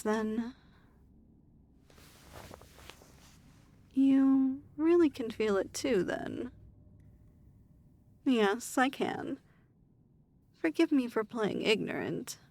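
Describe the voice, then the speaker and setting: A woman playing a haughty, weary demon lord in an ASMR fantasy roleplay, speaking in a formal, measured, imperious manner. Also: a little high, a little mellow. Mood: softened, intrigued.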